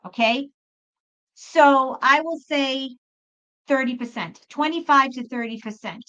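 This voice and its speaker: High-voiced woman on a dull lo-fi stream. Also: measured pace, sharp tone. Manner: emphatic, chatty, repeats phrases. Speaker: host and tarot reader. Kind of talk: live spiritual tarot reading, casual chat with viewers. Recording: dull lo-fi live stream audio.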